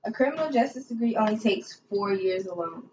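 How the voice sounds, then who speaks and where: A woman's voice: mid-range voice, animated, a little fast, darkish tone, a little hiss or hum, natural voice. A young woman playing a polite, formal investigator guest in a scripted amateur interview. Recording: echoey, muffled, distant room mic.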